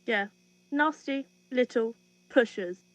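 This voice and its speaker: A woman's voice: a little high, takes it slowly, highs cut off. Cold, scornful woman acting a police chief. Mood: cold contempt. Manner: commanding, scornful. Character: cold, contemptuous female police chief interrogating a prisoner. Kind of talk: dystopian audio drama acting.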